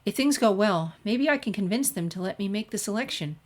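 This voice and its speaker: A woman's voice: medium pitch, lively intonation, fairly quick, voice pushed up, close to an everyday voice. A woman voicing a studious, polite teenage girl who speaks earnestly in a story.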